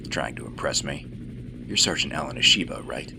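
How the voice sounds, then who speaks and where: A man acting a gruff veteran special-forces soldier in a radio drama, with a dry, cynical, laconic delivery and a gravelly voice. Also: extremely low pitch, expressive, fairly quick, noisy background, full character voice. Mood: businesslike.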